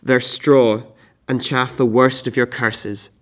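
A man performs an exasperated husband from a classical tragedy. He scolds with loud, scornful force, lifting his voice above everyday talk in a theatrical monologue. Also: medium pitch, flat delivery, slow pace. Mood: dismissive, angry. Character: exasperated, scornful husband Jason berating Medea.

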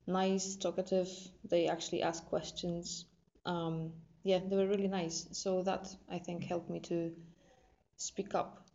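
Woman in her twenties, Polish accent, native Polish speaker, hesitant casual talk, low flat voice, dull lo-fi recording. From Poland. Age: 20s. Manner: hesitant, many ums and restarts. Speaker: drama student.

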